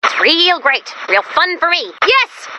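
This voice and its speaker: A woman's voice: extremely high pitch, very animated, noisy background, heavily acted. A woman voicing a perky, nervous, geeky female robot assistant in a video game, chatty, quirky and easily flustered. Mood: giddy. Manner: flustered, quirky, halting then gushing. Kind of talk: animated video game character voice lines.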